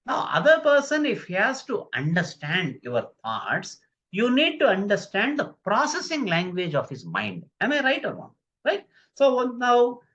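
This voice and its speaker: A man's voice: sits high, a bit bright. A man giving a live online lecture on communication skills, stressing key phrases, repeating himself and putting questions to his viewers. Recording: dull lo-fi recording.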